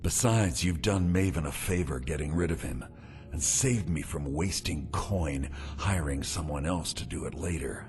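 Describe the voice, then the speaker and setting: Deep-voiced, sly schemer. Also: male voice, moderate pace. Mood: sly, businesslike. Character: sly, self-satisfied male meadery conspirator and fence. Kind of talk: fantasy video game acting. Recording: clear background music.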